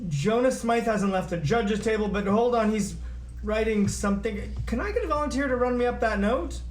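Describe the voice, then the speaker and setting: Man acting high-voiced folksy radio emcee. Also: expressive, moderate pace, over music. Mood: flustered. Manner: showy, hammy announcer patter. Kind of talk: announcing at a comedic script table read. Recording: roomy echo, noticeable background noise.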